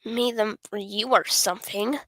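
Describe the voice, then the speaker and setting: A woman's voice: medium pitch, fairly even intonation, moderate pace, a bit bright, no echo, natural voice, poor audio. A woman voicing a flustered, prickly teenage schoolgirl from a visual novel, stammering and defensive. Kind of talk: visual novel character read on stream.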